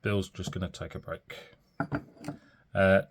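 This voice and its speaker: A very low-voiced man talks casually through a fountain pen review, pausing often with ums and correcting himself as he goes. He has a cold, so he sounds a bit throaty and bunged up. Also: little pitch movement, gravelly, slight background noise. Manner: chatty and rambling, with many ums and self-corrections.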